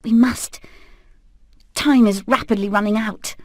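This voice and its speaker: Game role: determined hen escape leader played by a woman, urgent, high-pitched, very dry recording. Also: steady tone, unhurried, darkish tone, no echo, natural voice. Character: determined young hen leading an escape plan. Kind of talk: animated film game voice acting.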